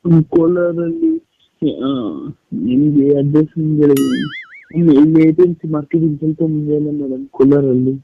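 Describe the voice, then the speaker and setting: A man's voice: fairly high pitch, huge pitch swings, a little fast, creaky, full character voice. A man on a prank call, playing a whiny, hesitant, wary homeless teenage boy. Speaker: prank caller. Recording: dull, noisy phone prank recording.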